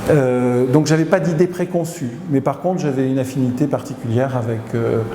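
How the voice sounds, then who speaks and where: A middle-aged man from Tunisia, a company CEO answering interview questions, who pauses often and hesitates mid-sentence. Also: medium pitch. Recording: echoey hall with noise behind.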